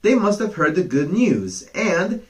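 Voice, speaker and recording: A man voicing a wise old male rooster, calm and clever, in a bedtime storybook reading. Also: high voice, measured pace, distant mic, voice pushed up. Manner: calm, feigning delight.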